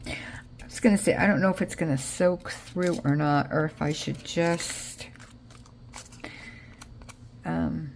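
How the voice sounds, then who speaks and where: A woman's voice: deep voice, slow pace, vocal fry, long pauses, a little hiss or hum. A woman with an American accent chatting casually as she narrates a craft project, rambling and thinking aloud, often trailing off. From Israel, raised in Connecticut, US. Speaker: craft vlogger.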